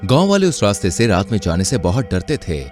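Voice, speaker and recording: A man's voice: on the low side, sing-song, brisk, a little mellow, faint music behind. A man narrating a scripted horror-comedy story in a dramatic storytelling style.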